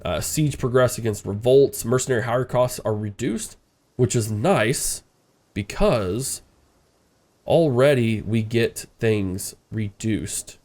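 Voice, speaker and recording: A man's voice: medium pitch, takes it slowly, a little mellow. A congested man with a stuffed-up nose, casually commenting as he plays a strategy game and thinking aloud. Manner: rambling, thinking aloud, frequent uh fillers. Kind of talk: casual let's-play commentary.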